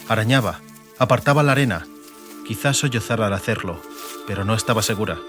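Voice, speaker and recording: A man with an accent from Spain, narrating a fantasy audiobook in a dramatic scripted style. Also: fairly low pitch. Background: music.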